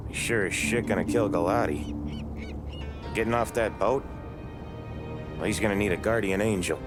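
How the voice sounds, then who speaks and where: An actor as a deep-voiced mob enforcer. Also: male voice, normal speed, over music, close to an everyday voice. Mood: blunt, doubtful. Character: tough, matter-of-fact mafia enforcer. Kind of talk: dramatic video game cutscene acting.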